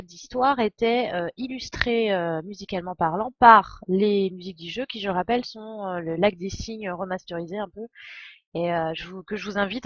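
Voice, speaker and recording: A woman's voice: medium pitch, no echo. A woman with a French accent chatting casually over a game stream, exasperated and self-deprecating. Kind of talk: casual gaming stream commentary. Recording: muffled stream audio, game music behind.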